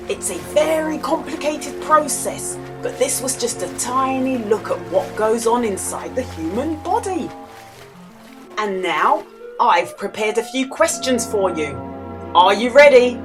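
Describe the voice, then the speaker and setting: Lady doing kids story time, takes it slow, dramatic pauses, big emphasis, music playing behind her. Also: fairly high pitch, sing-song. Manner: dramatic, exaggerated emphasis with deliberate pauses for young listeners. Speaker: children's storyteller and reader. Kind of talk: expressive children's storybook read-aloud with quiz.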